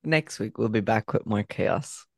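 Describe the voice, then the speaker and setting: A man's voice: on the low side, a little slow, creaky, husky, no echo, studio quality. Laid-back wry male podcast host. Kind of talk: casual chat. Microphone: podcast microphone.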